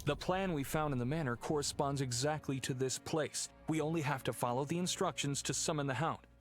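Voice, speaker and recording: An actor playing a courteous, earnest aristocratic heir in a video game, speaking in a formal way. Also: male voice, a little high, brisk, close to an everyday voice. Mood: earnest, uneasy. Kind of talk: video game cutscene acting. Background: game music.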